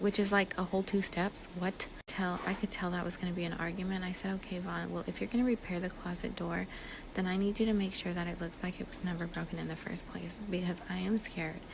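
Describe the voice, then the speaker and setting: Low-voiced woman with creaky, noisy recording. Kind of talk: casual personal storytelling.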